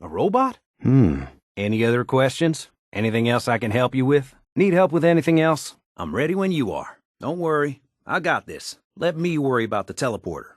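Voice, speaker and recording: Animated, folksy American wisecracking tinkerer. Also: male voice, mid-range voice, fairly quick, hardly any pauses, dry recording, clean studio sound. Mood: helpful, easygoing. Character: folksy, wisecracking male tinkerer and scrappy settler ally. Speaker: video game character voice actor. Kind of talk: video game dialogue, conversational and folksy.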